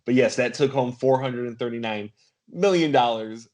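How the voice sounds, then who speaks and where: A man with a medium-pitched voice hosts a podcast game. He speaks casually, in the manner of an informal quizmaster. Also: moderate pace, a little mellow. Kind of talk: casual quiz hosting.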